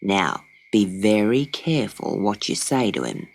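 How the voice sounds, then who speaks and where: A woman voicing a gentle, protective mother kangaroo who speaks kindly and calmly. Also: rumbling low, measured pace, creaky, pitched below the performer's own voice, full character voice. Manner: kindly, calm, hushed when afraid. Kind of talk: storybook character voice.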